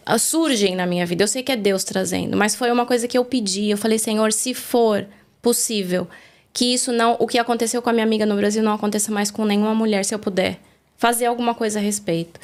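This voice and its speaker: A woman's voice: fairly high pitch, fairly even intonation, fairly quick. A woman from Brazil, the guest on a faith interview, telling her story in an earnest, reflective way, recorded in a studio.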